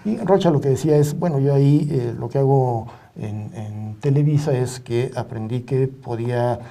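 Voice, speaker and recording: A middle-aged man with a Mexican accent, speaking as an expert guest in a studio discussion in a deliberate, halting, measured way. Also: mid-range voice, creaky, dark tone. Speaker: media ombudsman and journalist, panel guest. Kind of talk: reflective studio interview discussion.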